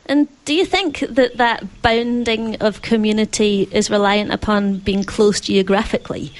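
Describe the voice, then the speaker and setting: A woman's voice: mid-range voice, fairly even intonation, a little slow, rough recording. A woman with a Scottish accent hosting a radio interview from a studio. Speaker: radio show host.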